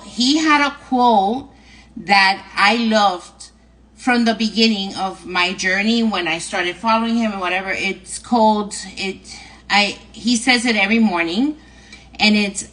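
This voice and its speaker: A woman's voice: mid-range voice, a bit bright, rough recording. Chatty New York woman giving tips. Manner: chatty, repetitive, tags lines with "right?" and "okay?". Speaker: host. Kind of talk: live-stream advice talk.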